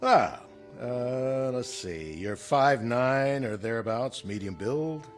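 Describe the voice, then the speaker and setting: An American actor playing an easygoing, puzzled office colleague in a naturalistic drama, casual, hesitant and given to banter. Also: male voice, medium pitch, lively intonation, slow, soft background music.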